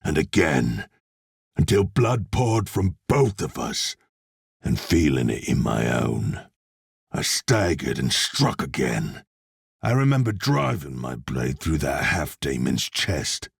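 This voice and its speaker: An actor playing a gruff, hard-bitten demon hunter and vengeful soldier, terse and plain-spoken, with gravelly video game delivery. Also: male voice, very deep voice, big pitch swings, takes it slowly, no room sound, clean studio sound, exaggerated voice. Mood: grim, brooding. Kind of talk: video game voice lines, scripted.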